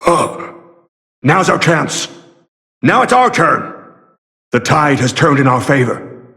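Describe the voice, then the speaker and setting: An actor voicing a grave, courteous, weary warrior in a video game, with formal, measured delivery. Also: male voice, a little high, sing-song, gravelly, bright, forceful tone, full character voice. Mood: urgent battle calls. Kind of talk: dramatic video game voice acting.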